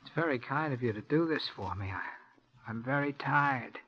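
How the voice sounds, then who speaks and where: A man playing a quietly menacing young handyman in a 1940s radio drama, speaking in a soft, hushed voice. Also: medium pitch, animated, moderate pace, natural voice. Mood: weary. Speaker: radio actor. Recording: muffled vintage radio broadcast, noisy.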